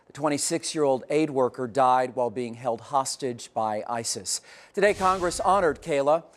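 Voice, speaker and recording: A man with an American accent reading scripted news as an anchor. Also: mid-range voice.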